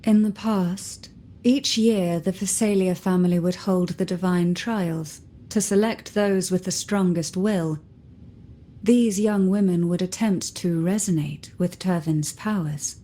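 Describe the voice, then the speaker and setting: Low, mellow, enigmatic noblewoman. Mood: grave, mysterious. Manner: deliberate, formal, poised. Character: enigmatic composed noblewoman, secretive and measured. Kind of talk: video game voice acting.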